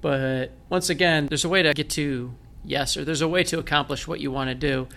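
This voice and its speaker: Gravelly-voiced Michigan man telling stories. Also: on the high side, steady tone, fairly quick, fairly bright tone. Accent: Northern Michigan American. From Michigan, United States. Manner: self-deprecating, joking. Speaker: guest, blind military veteran. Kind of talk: conversational interview storytelling.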